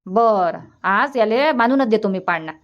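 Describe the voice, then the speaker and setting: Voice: mid-range voice, expressive, measured pace, lightly acted. A performer voicing an obliging, practical village family member in a Marathi cartoon comedy, with a Varhadi accent, in slightly muffled audio. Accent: Varhadi Marathi from Vidarbha.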